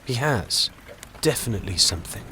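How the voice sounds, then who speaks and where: Audio drama: a genial older doctor, courteous and opinionated. His voice is bright and forward at a middling pitch, with a little background noise. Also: expressive, a little fast, rough recording. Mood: musing. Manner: jovial, courteous, musing.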